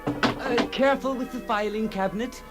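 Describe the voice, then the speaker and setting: A man's voice: extremely high pitch, normal speed, over loud music. A man voicing a fussy, deferential law clerk who keeps indignantly repeating himself in an audio drama.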